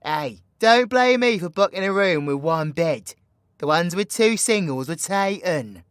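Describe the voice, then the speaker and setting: Man playing a cocky British villain, wildly animated. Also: high voice, measured pace, clear, resonant tone, no echo, studio quality. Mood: loud indignant teasing. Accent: British English. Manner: sweary, teasing, slangy banter with theatrical swings. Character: cocky, crude, flirty English supervillain rogue. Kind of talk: villain roleplay ASMR audio drama.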